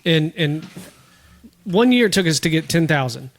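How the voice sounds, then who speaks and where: A man co-hosting a casual podcast, easygoing and quick to laugh. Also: a little high, lively intonation. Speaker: podcast co-host.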